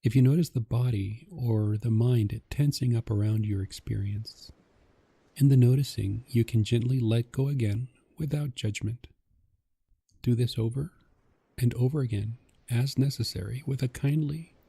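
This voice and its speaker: A man narrating a guided meditation in a gentle, calming, measured way, with a soft voice. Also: medium pitch, creaky, husky, dry recording.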